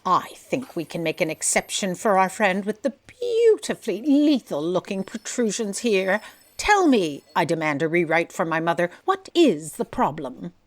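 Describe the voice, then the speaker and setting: A woman's voice: lowish voice, big pitch swings, moderate pace. A woman playing an eccentric, impulsive and imperious editor in chief in a comic audio drama. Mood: breezy, commanding. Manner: imperious, theatrical.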